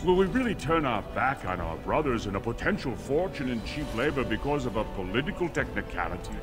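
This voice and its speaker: Animated, indignant dwarf lord played by a man. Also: medium pitch, fairly quick, lightly acted. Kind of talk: fantasy game voice acting. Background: very loud music.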